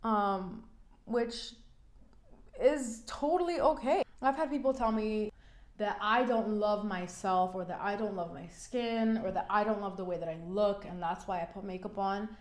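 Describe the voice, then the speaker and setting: A woman's voice: mid-range voice, unhurried. A young woman in her twenties chatting frankly while doing her makeup, her voice congested from a cold, with lots of "like" fillers. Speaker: beauty vlogger. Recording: echoey room.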